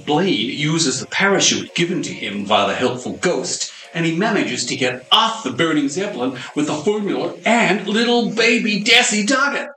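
A man's voice: a little high, lively intonation, normal speed, few pauses, pushed and bright, a little hiss or hum. A man narrating and announcing an amateur community radio play, recorded in an echoey room. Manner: storytelling, announcing.

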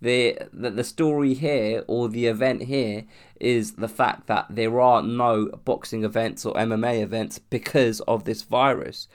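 A man's voice: mid-range voice, fairly even intonation, measured pace. A young man from London with a cold and a sore throat, hosting a solo podcast in a rambling, casual way, restarting and swearing as he talks. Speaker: podcast host.

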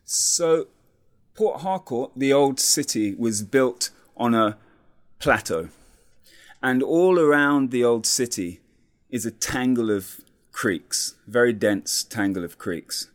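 A man calmly describing his city as a guest on a talk program, speaking in a measured, descriptive way. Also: mid-range voice. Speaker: community radio guest. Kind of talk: conversational explanation.

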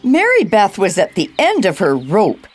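A woman playing a kindly, chatty older woman at a seniors' residence in an audio drama, with a lilting, expressive delivery. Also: mid-range voice, normal speed, soft background music. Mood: chatty, sympathetic.

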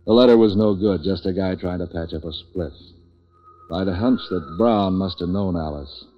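An actor playing a street-wise, wisecracking hired tough who narrates a 1940s radio detective drama in a clipped, deadpan way. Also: male voice, deep voice, normal speed, soft background music, natural voice. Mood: deadpan, world-weary. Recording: dull vintage 1940s radio recording.

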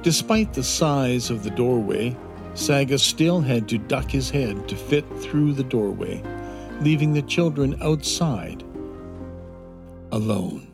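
A man's voice: mid-range voice, expressive, takes it slowly, gravelly, over music. A man narrating a children's fantasy adventure in a scripted storytelling style.

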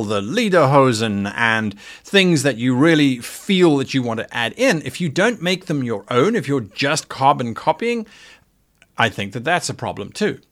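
A man's voice: medium pitch, a bit bright. A man hosting a casual livestream, talking thoughtfully and earnestly about running tabletop games, with fillers and restarts as he reads chat. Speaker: podcast host and game master.

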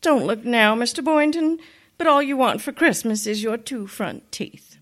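Woman acting a wry, high-pitched schoolteacher. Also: normal speed, fairly bright tone, close to an everyday voice. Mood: deadpan sarcasm. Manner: sardonic, theatrical. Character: wry, quick-witted female high-school teacher. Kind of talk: radio comedy acting.

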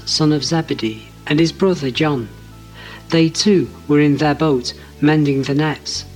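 A man reading a Gospel passage aloud as a scripture reader. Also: fairly high pitch.

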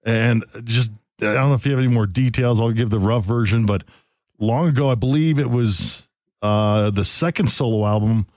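A man's medium-pitched voice, recorded close and dry. He hosts a radio interview, talking informally and with plenty of slang. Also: normal speed, a little mellow, no echo. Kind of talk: casual radio interview chat.

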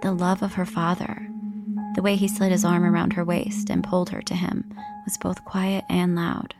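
An actress narrating a brooding audio drama as its storyteller, in a measured, lyrical manner. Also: female voice, lowish voice, level tone, close to an everyday voice. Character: brooding storyteller of a 1950s coming-of-age tale. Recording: loud music behind narration.

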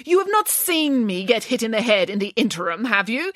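A woman's voice: fairly high pitch, big pitch swings, a little fast, fairly bright tone, dry recording, studio quality, voice pushed up. A woman voicing a small, fierce pig nanny who speaks grandly with haughty, theatrical outrage.

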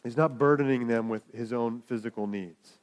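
A man's voice: mid-range voice, moderate pace. A man preaching a sermon, in a conversational way with asides to the congregation. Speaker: pastor.

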